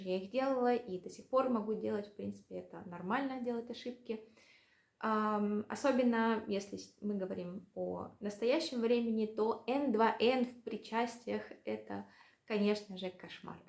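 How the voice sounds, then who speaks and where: A woman's voice: medium pitch, darkish tone, echoey room. A young woman from Russia with a Russian accent, a vlogger chatting casually to the camera and joking at her own expense, with many fillers.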